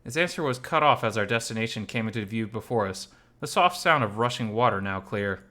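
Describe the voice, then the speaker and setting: A man's voice: on the low side, expressive, brisk, sharp tone. A man narrating a visual novel aloud in the first person, reading expressively.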